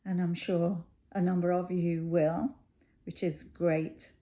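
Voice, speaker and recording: A middle-aged woman with a croaky voice, chatting casually to camera at home, rambling with many ums and pauses. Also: on the low side, level tone, warm tone. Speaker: cross stitch YouTuber.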